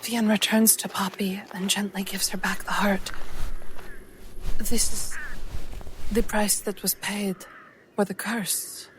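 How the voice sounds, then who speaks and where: A woman playing a tabletop game, narrating and voicing her character in a gentle, serious storytelling manner. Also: mid-range voice, little pitch movement, slow, pauses often, slight background noise, low-quality recording. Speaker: tabletop roleplay player. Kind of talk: in-character fantasy narration with acted dialogue. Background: music.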